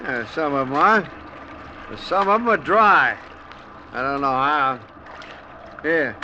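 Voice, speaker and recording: An actor playing a wry, lonely castaway in an old radio drama. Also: male voice, a little high, animated, slow pace, sharp tone, highs cut off, a little hiss or hum, heavily acted. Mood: wry, cheerful. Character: wry, lonely shipwreck survivor in a lifeboat. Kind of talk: 1940s-50s radio drama acting.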